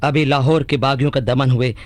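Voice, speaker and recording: A man's voice: medium pitch, steady tone, fairly quick, no echo, lightly acted. A man acting a humble, loyal courtier-soldier in a radio play, formal and deferential. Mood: deferential, concerned. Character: humble, loyal male courtier-soldier bearing grave news.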